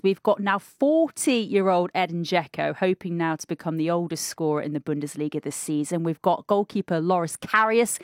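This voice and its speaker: A woman, a sports reporter, speaks in a fairly low voice with polished broadcast fluency. Her voice is dry and close, with no room sound around it. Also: no echo.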